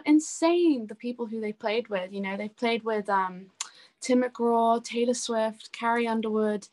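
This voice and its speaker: A young woman talks in a casual interview as a musician. Her voice sits at an average pitch, and she speaks in a chatty, enthusiastic way, giving relaxed, anecdotal answers. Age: young adult.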